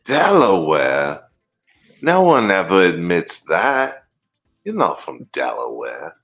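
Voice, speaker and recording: Man, lowish voice, very animated intonation, teasing and mock-indignant banter. Slightly dull recording, faint music. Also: takes it slowly. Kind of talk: casual joking banter.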